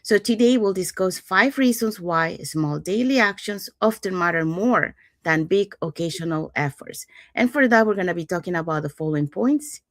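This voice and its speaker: Low-voiced woman from Panama hosting. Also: expressive, moderate pace, few pauses, no echo, clean studio sound. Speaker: host. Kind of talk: casual online talk show.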